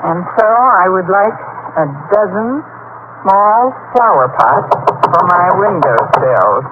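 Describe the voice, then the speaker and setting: A woman playing a wry, wisecracking high school teacher with dry delivery in old-time radio comedy. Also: animated, takes it slowly. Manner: dry, sardonic. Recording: dull, faintly noisy old radio recording.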